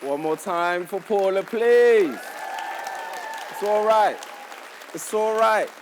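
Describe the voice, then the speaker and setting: A man's voice: sits high, slow pace. A man from Nigeria hosting a live poetry event, joking and calling on the audience to cheer for each poet. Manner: energetic, joking, calls for cheers. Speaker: event host. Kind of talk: hyping up a live crowd. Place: indoor conference hall stage. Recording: live event with loud crowd noise.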